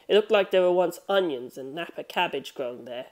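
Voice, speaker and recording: A man's voice: on the high side, lively intonation, brisk. A man reading scripted fiction as an audiobook narrator.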